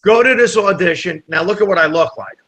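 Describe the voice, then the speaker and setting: A man's voice: high-pitched, a little fast. A comedian telling a story in a casual interview, acting out the people in it. He grew up speaking Italian first and is from New Jersey. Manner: animated storyteller, acts out dialogue. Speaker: comedian guest.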